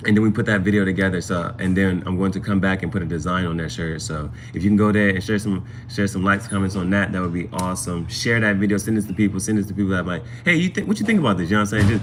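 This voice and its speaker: A man's voice: deep voice, a little hiss or hum. A man hosting a casual tarot livestream, chatting informally to viewers and rambling, often repeating phrases for emphasis. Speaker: livestream host and amateur tarot reader.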